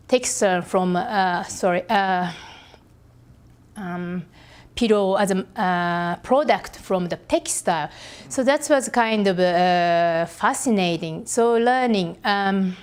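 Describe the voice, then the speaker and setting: A middle-aged Japanese woman with a Japanese accent, speaking English as a second language as an artist guest in a podcast interview. She talks haltingly and pauses often to search for words. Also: medium pitch, clear, resonant tone.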